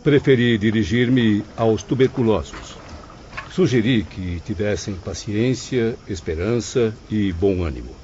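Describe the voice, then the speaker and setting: A man with a Brazilian accent playing a kindly, patient spirit in an audiobook drama, gentle and formal in his diction. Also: fairly low pitch, measured pace, a little hiss or hum, lightly acted. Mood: kindly, inquiring. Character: kindly, patient male spirit comforting the suffering. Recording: dull audio, faint insect ambience.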